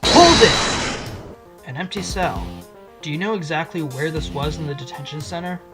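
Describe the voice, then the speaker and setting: A man playing a wry, bold fox defense attorney in a courtroom audio drama, with loud music behind him. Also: a little high, normal speed, lightly acted. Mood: probing. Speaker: voice actor in a fan audio drama.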